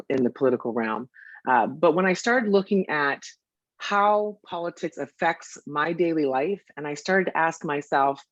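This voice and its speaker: A woman lawyer running for office, giving a measured, deliberate campaign statement. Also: low-pitched, dry recording, lo-fi sound. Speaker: Liberal candidate, criminal lawyer.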